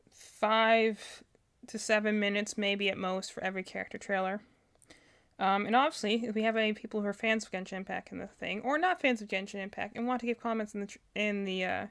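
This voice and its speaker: A woman's voice: medium pitch, fairly bright tone, clean tone. A woman hosting a livestream in a casual, rambling way, thinking aloud and often correcting herself as she goes. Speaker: livestream host.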